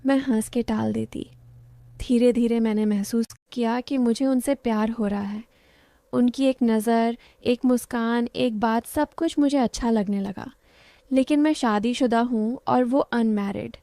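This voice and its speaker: A woman narrating a first-person story in a steady storytelling tone. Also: fairly high pitch, measured pace, dry recording, clean studio sound.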